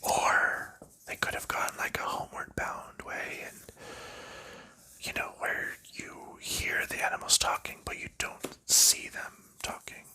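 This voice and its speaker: Man, whispered ASMR, very bright crisp breathiness, soft rambling chatter, lots of ums. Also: slow. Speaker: ASMR channel host. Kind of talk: whispered ASMR board game unboxing.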